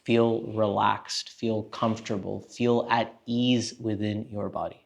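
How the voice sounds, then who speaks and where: A man with a low, gravelly voice leads a guided meditation. His delivery is calm and gentle, staying very even in tone. He takes his time, leaving long pauses between instructions. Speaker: meditation teacher.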